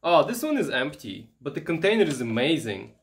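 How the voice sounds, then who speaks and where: Guy doing a casual show and tell, kinda halting, thinking out loud, bit of noise behind him. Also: a little high, big pitch swings. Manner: halting, musing aloud while handling items. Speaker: vintage electronics vlogger.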